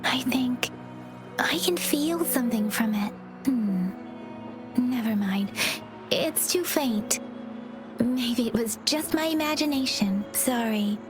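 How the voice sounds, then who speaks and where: A woman playing a gentle, studious young scholar in a video game, polite and measured as she explains. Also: fairly high pitch, music in the background. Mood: hesitant. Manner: measured, soft-spoken, explanatory. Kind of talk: English video game voice acting.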